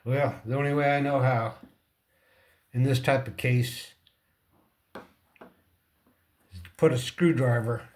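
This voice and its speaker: A man casually hosting a hands-on repair demonstration, talking in short, halting phrases as he thinks aloud. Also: mid-range voice, fairly even intonation. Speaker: repair tutorial host.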